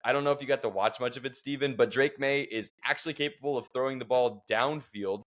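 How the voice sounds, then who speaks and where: A young man hosting a casual sports podcast in an even middle pitch. He jokes freely and drives the talk with energy. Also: fast talker. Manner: joking, energetic, leads the show. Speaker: fantasy football co-host.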